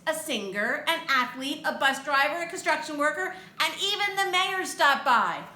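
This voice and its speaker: Lady reading a kids book out loud, really expressive, chats to the little listeners, roomy echo, bit of noise. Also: on the high side. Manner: expressive, gasping, chatty asides to young listeners. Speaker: read-aloud storyteller for children. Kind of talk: animated picture book reading with asides to children.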